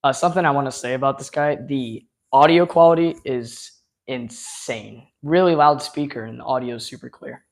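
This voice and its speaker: A man's voice: medium pitch, moderate pace. A man hosting a casual live unboxing stream, rambling with lots of fillers and losing his voice from talking so much. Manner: rambling, filler-heavy, enthusiastic.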